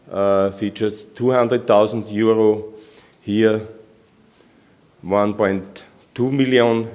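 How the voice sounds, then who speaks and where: Hesitant Austrian man presenting, slow and low. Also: darkish tone. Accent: Austrian German. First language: German. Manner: halting non-native English with many restarts and fillers. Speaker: conference speaker. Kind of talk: technical conference presentation. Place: conference hall.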